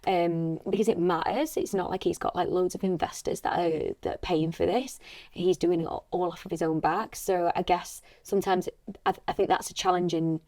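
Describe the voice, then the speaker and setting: A woman with a slightly husky voice, talking casually and reflectively as a voice actress on a podcast. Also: lowish voice, steady tone, a little fast, dark tone.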